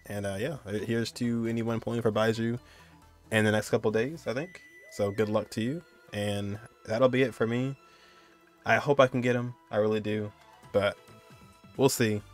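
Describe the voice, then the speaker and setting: A man's voice: on the low side, steady tone, moderate pace, faint music behind. A young man giving casual reaction commentary as the host of a video. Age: young adult.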